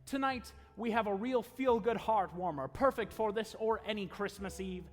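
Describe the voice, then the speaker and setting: Actor as a showy high-pitched radio host in a hall. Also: male voice, normal speed, close to an everyday voice. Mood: grand, welcoming. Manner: grand showman delivery. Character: jovial old-time radio show host announcer. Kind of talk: 1940s radio announcing. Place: theater stage before a live audience. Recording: echoey live hall taping.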